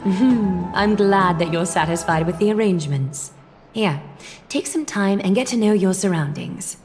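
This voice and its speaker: A woman's voice: medium pitch, moderate pace, darkish tone, faint music behind, natural voice. An actress voicing a poised, gracious noblewoman in a video game, speaking formally and courteously. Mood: composed, gracious. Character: poised, gracious noblewoman leading a holy city.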